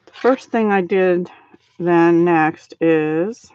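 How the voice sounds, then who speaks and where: A middle-aged woman hosting a live card-making stream, talking softly and thinking aloud as she works and chats with viewers. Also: lowish voice, slow pace, clear, resonant tone, no echo. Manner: soft-spoken, thinks aloud while working. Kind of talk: casual live craft demo, chatting with viewers. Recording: dry, slightly dull home stream audio.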